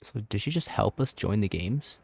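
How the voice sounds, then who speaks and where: A man's voice: on the low side, lively intonation, moderate pace, vocal fry, slight background noise. A man hosting a gaming video, giving casual reactions over gameplay.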